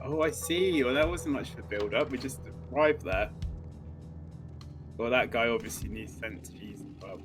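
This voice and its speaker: A man's voice: mid-range voice, moderate pace, over music. A man co-hosting a casual gaming playthrough, bantering with wry, mocking asides. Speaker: gaming show co-host.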